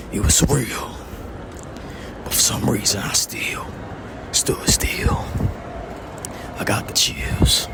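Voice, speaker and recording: Deadpan low-voiced man, slow and hushed. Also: vocal fry. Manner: boastful about his deep voice. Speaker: comedic vlogger. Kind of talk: rambling deadpan comic monologue. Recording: loud traffic noise behind.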